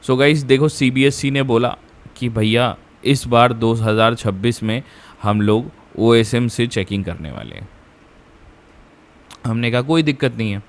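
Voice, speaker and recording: Indian man, medium pitch, education host, informal explainer, conversational, code-switching, emphatic repetition. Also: unhurried. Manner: conversational Hindi-English code-switching, repeats phrases for emphasis. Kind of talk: informal explainer addressed to students.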